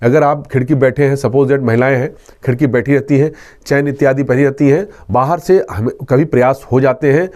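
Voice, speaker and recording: A man with a mellow, relaxed tone speaks as a police official in an interview. He explains precautions earnestly and methodically, circling back to his main points, at a middling pitch. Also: brisk, hardly any pauses. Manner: earnest, instructive, repeats key points. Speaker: railway police inspector being interviewed. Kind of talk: explanatory interview answers with safety advice.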